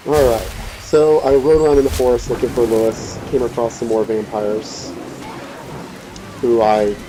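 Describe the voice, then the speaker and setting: A man's voice: medium pitch, fairly even intonation, moderate pace, noisy background. A man giving casual, rambling, laid-back commentary as he hosts a let's play. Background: game music and rain.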